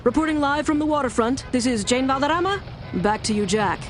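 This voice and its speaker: A woman playing a composed TV news reporter in a video game, delivering a formal, measured live report. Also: sits high, lively intonation, faint music behind. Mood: composed, serious.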